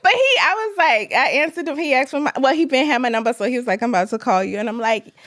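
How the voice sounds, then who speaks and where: A woman, co-hosting a podcast and telling animated stories between bursts of laughter. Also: high voice, quick pace. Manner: animated storytelling, laughs often. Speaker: podcast co-host. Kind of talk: casual chat.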